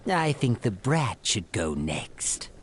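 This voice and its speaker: A man playing a sadistic, arrogant alien tyrant in an anime game, creaky, pompous and taunting with mock politeness. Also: lowish voice, sing-song, takes it slowly, a little hiss or hum. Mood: smug taunting. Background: loud music.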